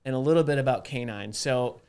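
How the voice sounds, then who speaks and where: A man's voice: medium pitch, brisk, darkish tone, clear, resonant tone. A man hosting a podcast, telling stories casually in informal, slangy speech. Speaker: podcast host. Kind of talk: casual chat, animated storytelling.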